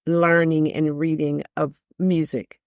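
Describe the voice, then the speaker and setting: A woman's voice: little pitch movement, slow pace, a little mellow, smooth, no room sound, studio quality. An older woman, a jazz singer, talking candidly as a podcast guest and stressing her points by repeating them. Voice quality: deep voice. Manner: emphatic, repeats phrases for stress.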